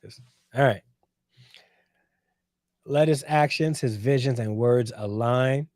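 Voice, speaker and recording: A man in his forties hosts a casual livestream and answers his chat with playful, teasing banter. His voice sits at an ordinary middle pitch. The sound is dry and close, with no room echo. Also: slow pace, darkish tone. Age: 40s. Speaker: host reading live chat.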